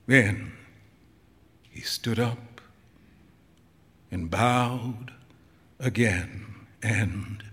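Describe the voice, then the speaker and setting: A man giving a dramatic, deliberate literary reading live on a theater stage, with drawn-out pauses. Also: on the low side, fairly bright tone. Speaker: actor reading a short story. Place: theater stage before an audience. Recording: reverberant hall, live stage performance.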